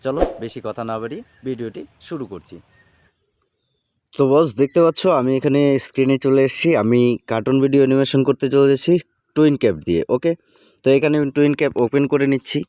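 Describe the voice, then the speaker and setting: A man's voice: medium pitch, fast talker, mellow, dry recording, studio quality. A man narrating an informal video tutorial, addressing viewers familiarly and often repeating himself and his catchphrases. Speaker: tutorial presenter.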